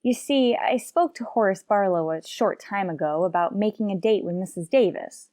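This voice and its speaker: A woman acts out a quick-witted schoolteacher who both narrates and stars in an old-time radio comedy. She is arch and polite, with a mid-range voice in a dry, close recording. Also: mid-range voice, dark tone, no echo, close to an everyday voice. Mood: worried. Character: wry, quick-witted female high school teacher. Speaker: lead actress.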